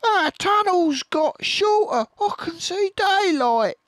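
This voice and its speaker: Small fox cubs cry out in fear, their voices pitched very high and thin. in a read-aloud children's book. They sound scared and young. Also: male voice, lively intonation, takes it slowly, fairly bright tone, no echo, clean studio sound, full character voice. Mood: frightened. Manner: crying out, scared.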